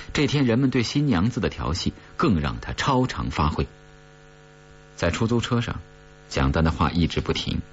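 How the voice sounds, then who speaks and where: Very deep-voiced man narrating suspense. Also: highs cut off, a little hiss or hum. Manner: measured, suspenseful delivery with deliberate pauses. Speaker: audiobook narrator. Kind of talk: dramatic horror story narration.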